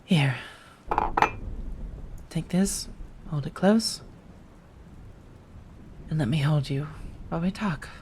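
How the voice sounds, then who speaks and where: Soft, hesitant female vampire played by a woman, rainy backdrop. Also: low voice, background noise. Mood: gentle, sorry. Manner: soft, hesitant, halting delivery, often slipping into whisper. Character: remorseful, tender female vampire rescuing her beloved. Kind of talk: ASMR roleplay.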